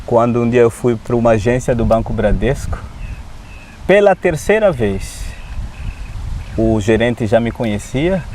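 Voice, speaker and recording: A man who is a pastor and Adventist preacher, speaking with a foreign accent as a non-native speaker, tells his personal testimony in an unhurried way, often adding tag questions. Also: mid-range voice, darkish tone, slight background noise. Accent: foreign accent in Portuguese. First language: not Portuguese. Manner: unhurried storytelling with frequent "né?" tags and light laughs.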